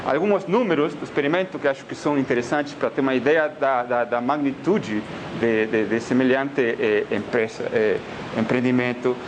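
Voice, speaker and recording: A man's voice: medium pitch, normal speed, noisy background. A man from Argentina with an Argentine Spanish accent, giving a physics colloquium talk in Portuguese, hesitant with many filler sounds. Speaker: physics lecturer. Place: lecture hall.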